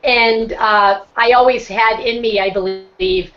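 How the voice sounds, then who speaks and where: A woman's voice: a little high, slow pace. A middle-aged woman from Boston with a Boston accent, talking at length and earnestly as a guest speaker on a video call. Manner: talkative, earnest, self-described talker. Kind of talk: casual online chat. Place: home setup on a video call. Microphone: computer microphone on a video call. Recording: dull lo-fi video-call audio.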